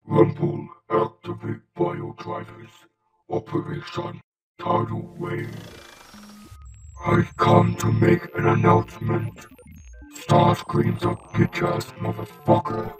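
A man playing a cold, menacing cartoon robot lieutenant with a vocoder effect and clipped commands. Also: over loud music. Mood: indignant, scornful. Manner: slow, flat, clipped commanding delivery. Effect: robotic vocoder filter. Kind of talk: hobby voice impression of a cartoon villain robot.

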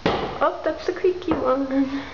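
Soft-spoken woman touring an empty house. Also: high-pitched, slow pace. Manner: soft, half-whispered, pointing things out. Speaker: urban explorer filming a tour. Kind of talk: casual walkthrough narration. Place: empty abandoned row house, indoors. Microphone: handheld camera. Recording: roomy, dull lo-fi camera audio with hiss.

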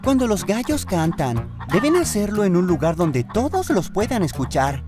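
A woman voicing a cheerful, eager young boy train engine in a children's cartoon dub, with a Latin American accent and clear, expressive delivery. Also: fairly low pitch, moderate pace, over music. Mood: excited, curious.

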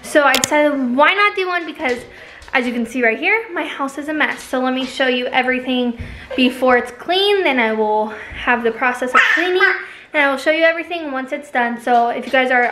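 A woman's voice: high voice, noisy background. A woman in her twenties casually chatting as she films her house, rambling and talking to viewers. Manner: chatty, rambling, filming handheld while walking through the house. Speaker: vlogger. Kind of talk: casual home vlog. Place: home rooms, indoors. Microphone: phone or camera held at arm's length.

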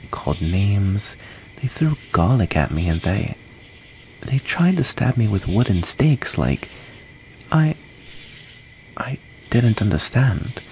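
A man playing a shy, wounded, gentle young outcast vampire in an ASMR comfort roleplay, speaking in a halting, soft-hearted way. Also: lowish voice. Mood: hurt, vulnerable. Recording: noisy, cricket ambience behind.

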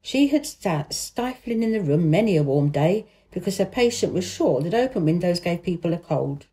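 Mellow-voiced woman narrating a storybook. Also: on the low side, animated, normal speed. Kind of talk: scripted narration of a children's novel.

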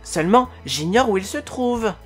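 A woman voicing a tiny, cheerful male forest elf with a high, squeaky voice, reading a storybook. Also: normal speed, husky, soft background music. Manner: chatty, polite, excitable.

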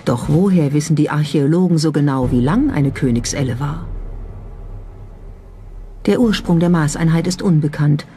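Documentary narrator, mid-pitched and relaxed, scripted delivery, light music bed. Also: normal speed, long pauses. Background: background music.

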